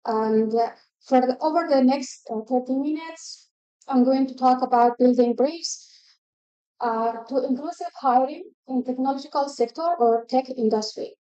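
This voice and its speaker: High-voiced woman giving a talk. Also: warm tone, clean tone, lo-fi sound. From Afghanistan. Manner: measured, with frequent hesitations. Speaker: guest speaker. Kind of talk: conference presentation. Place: event stage.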